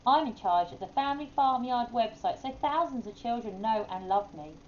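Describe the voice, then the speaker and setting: Woman playing a self-assured wise owl, animated delivery. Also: fairly high pitch, moderate pace, lo-fi sound, slight background noise, voice pushed up, close to an everyday voice. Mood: friendly, proud.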